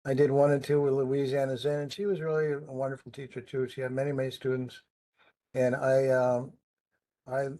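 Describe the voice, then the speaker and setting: A man's voice: medium pitch, a little mellow. Chatty male host with rambling questions. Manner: chatty, rambling, many ums. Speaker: podcast host. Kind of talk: casual interview conversation.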